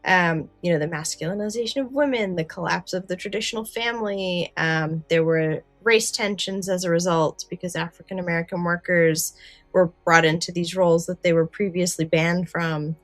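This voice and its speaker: A woman co-hosting a podcast, chatting casually and thinking aloud in an animated, conversational way. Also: fairly low pitch, normal speed, no room sound, soft background music. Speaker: podcast co-host. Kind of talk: casual podcast discussion of an old radio drama.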